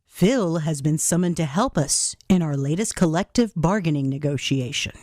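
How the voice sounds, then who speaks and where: An actress playing a cold, conniving, scornful schoolteacher in a comedic radio play. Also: female voice, low voice, lively intonation, normal speed, a little mellow, dry recording, clean studio sound. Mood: businesslike.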